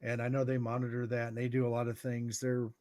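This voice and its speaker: A middle-aged American man giving informal explanations in a halting way, as if thinking aloud. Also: mid-range voice, completely flat delivery, a little mellow. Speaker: guest radio expert.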